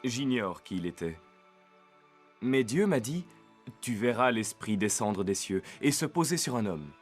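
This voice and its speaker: A man voicing a resolute, humble desert prophet with earnest, declarative delivery in an animated Bible drama. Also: mid-range voice, a little slow, soft background music. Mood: fervent, resolute.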